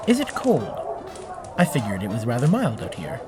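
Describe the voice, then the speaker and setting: An earnest young android in an audio drama. His phrasing is stiff, formal and literal, yet the pitch moves very widely. Clear music plays behind him. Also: huge pitch swings, moderate pace. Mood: polite, earnest. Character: earnest, literal-minded newborn male android made of fire.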